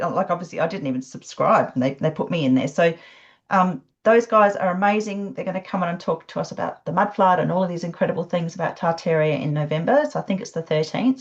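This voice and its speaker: A woman hosting a live online session, chatty and effusive with plenty of fillers, over a video call. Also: lowish voice. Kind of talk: casual chat. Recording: dull lo-fi call audio.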